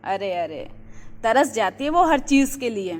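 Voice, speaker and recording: A woman's voice: a little high, very animated, measured pace. A woman voicing a gushing, gossipy neighbourhood housewife in a moral-story cartoon, recorded with echoing reverb. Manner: effusive, gossipy. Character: gushing, flattering neighbourhood housewife, friend of the mother-in-law.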